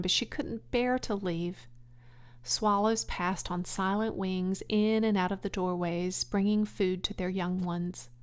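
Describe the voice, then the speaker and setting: A woman's voice: medium pitch, clear, resonant tone. A woman reading a children's story aloud as scripted audiobook narration. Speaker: audiobook reader.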